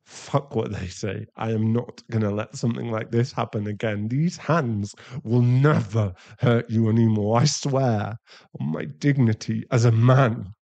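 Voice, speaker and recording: A man performs a shaken, loving werewolf boyfriend in an intimate ASMR roleplay. His male voice sits at an average pitch with a gravelly creak. He speaks haltingly and unhurriedly, heavy with emotion and shame. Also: darkish tone, highs cut off. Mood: troubled, resolving. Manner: halting, emotional, pleading, with sighs and breathy drops into whispers.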